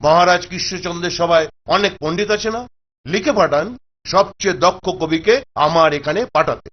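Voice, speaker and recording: A man's voice: a little fast, natural voice. A man voicing an imperious, whimsical Nawab in a cartoon, with a demanding manner. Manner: demanding, peremptory. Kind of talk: Bengali cartoon voice acting. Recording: dull low-fidelity audio with music behind.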